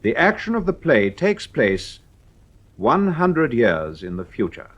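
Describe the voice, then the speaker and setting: A man announces in the scripted manner of 1950s radio. His husky voice takes its time, with expressive rises and falls in pitch. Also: slow, muffled recording. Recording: vintage radio broadcast recording.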